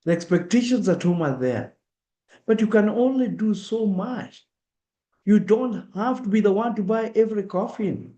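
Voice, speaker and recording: A middle-aged man with a Kenyan accent talks earnestly and directly to a community gathering, slipping into first-person examples. His voice is mellow and sits a little high. The sound carries the echo of a roomy hall. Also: lively intonation, normal speed. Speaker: speaker at a diaspora awareness event. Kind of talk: public talk. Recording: echoey room.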